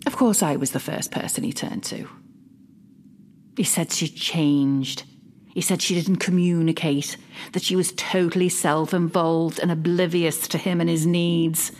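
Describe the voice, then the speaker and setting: Low-voiced, smugly possessive mother. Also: fairly even intonation, measured pace, a little mellow. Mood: sly, mock-innocent. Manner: confiding, smug, mock-innocent. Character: possessive middle-aged mother, sly, smugly self-justifying, quietly malicious. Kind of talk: dramatic monologue, confiding fiction podcast narration.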